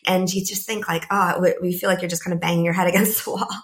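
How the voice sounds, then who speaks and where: A slightly croaky woman in her thirties speaks in a low-pitched voice. She talks as a podcast guest, pausing and reaching for words with easy fillers. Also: level tone. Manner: thoughtful, many "kind of" fillers. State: croaky, a bit ill. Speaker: podcast guest, climate psychologist. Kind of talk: casual interview talk.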